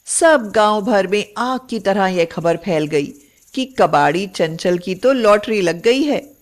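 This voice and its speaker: A woman narrating a scripted moral story in an expressive, deliberate storytelling manner. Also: medium pitch.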